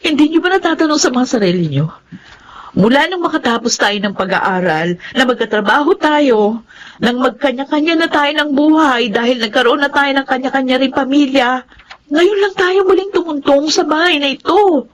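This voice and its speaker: An actress playing a conscience-stricken adult sister in a radio family drama. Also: female voice, high-pitched, expressive, moderate pace. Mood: remorseful, pleading. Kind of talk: Tagalog radio drama acting.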